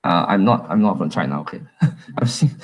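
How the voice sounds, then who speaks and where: A young man from Malaysia giving a casual, halting talk at a tech meetup, with many fillers and restarts. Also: low-pitched, animated, husky. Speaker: conference speaker.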